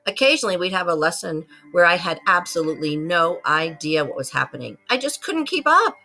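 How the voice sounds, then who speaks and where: A woman's voice: lowish voice, brisk, low-quality recording. A woman reads a children's picture book aloud to students, expressively acting out the story. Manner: animated, acts out the story. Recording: loud music behind the voice. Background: musical soundscape.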